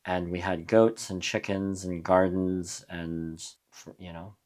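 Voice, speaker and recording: A young adult man from New Mexico, a musician guest in a casual interview, talking in a reflective, halting way with frequent restarts. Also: sits low, little pitch movement, darkish tone. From USA (New Mexico).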